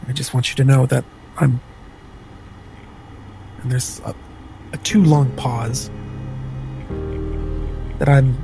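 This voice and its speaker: Guy acting as earnest reserved space crewman in a tabletop game, mellow n gravelly, halting, music behind. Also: mid-range voice, steady tone, poor audio. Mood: halting gratitude. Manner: halting, thoughtful pauses. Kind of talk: in-character tabletop roleplay with third-person narration.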